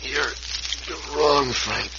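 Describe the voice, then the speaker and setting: An actor playing a wounded doctor in an old radio western, weak and faltering but urgent. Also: male voice, on the high side, takes it slowly. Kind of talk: 1950s western radio acting. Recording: muffled, hissy old radio recording.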